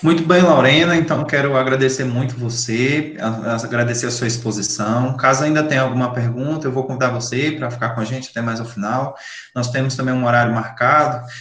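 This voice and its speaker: A man's voice: mid-range voice, brisk, fairly bright tone, a little hiss or hum. Courteous male host in an echoey room. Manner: formal and courteous, with repeated words. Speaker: event host and professor. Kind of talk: hosting an online academic event.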